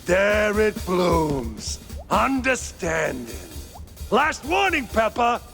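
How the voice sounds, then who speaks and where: Gloating fanatic cartoon villain, high creaky voice, slow theatrical taunts, some music underneath. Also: male voice, sing-song, fairly bright tone, exaggerated voice. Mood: taunting.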